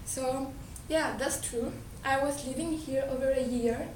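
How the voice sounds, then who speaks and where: High Colombian-accented woman, echoey hall. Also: measured pace, darkish tone. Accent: Colombian Spanish. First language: Spanish. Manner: earnest, repeats and restarts words. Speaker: speaker giving a talk. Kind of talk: presentation to an audience. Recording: echoey hall, distant mic, background noise.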